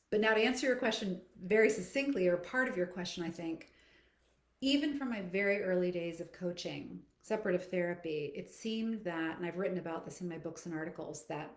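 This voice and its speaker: A middle-aged woman speaking as a voice coach in a live Q&A, explaining things reflectively and addressing listeners directly in a conversational way. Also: fairly low pitch. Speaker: voice coach and therapist hosting a live Q&A. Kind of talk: conversational live Q&A, answering audience questions. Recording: echoey, roomy sound.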